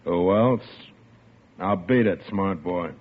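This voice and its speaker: A man's voice: deep voice, slow. An actor playing a burly, menacing tough guy who guards a door in an old radio drama, talking curtly and with open threat. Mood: gruff. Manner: curt, threatening. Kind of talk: 1940s radio acting. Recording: tinny old radio broadcast with music.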